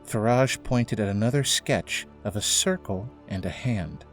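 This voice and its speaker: Male narrator, lowish voice, scripted storytelling for drama, soft music bed. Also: a little slow. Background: faint music.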